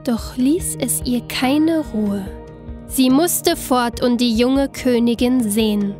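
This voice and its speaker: A woman's voice: on the high side, slow. A woman narrating a scripted fairy tale as a storyteller. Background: soft instrumental music.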